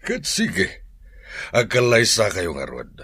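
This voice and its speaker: A man's voice: on the low side, huge pitch swings, slow, bright, forceful tone, husky, no echo, heavily acted. An actor playing an older father, firm but approving, in a radio drama.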